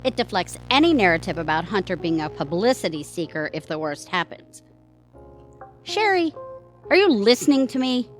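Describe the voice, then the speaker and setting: A woman's voice: mid-range voice, sing-song, moderate pace, faint music behind, lightly acted. A woman playing a wry, bossy, scheming wife in a comic audio play, with a dry, sardonic manner. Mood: exasperated. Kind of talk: comic audio theatre acting.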